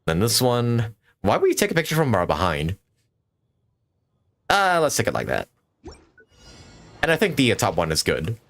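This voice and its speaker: Young guy streaming a game, casual jokey commentary to himself, pretty animated pitch. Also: moderate pace, pauses often. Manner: jokey, talks to himself while playing. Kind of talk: casual live gameplay commentary.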